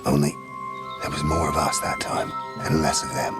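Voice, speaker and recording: Gruff soldier, medieval game: extremely deep, half-whispered, loud score underneath. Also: male voice, little pitch movement, moderate pace, loud music behind the voice. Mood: wary. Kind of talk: video game cutscene acting.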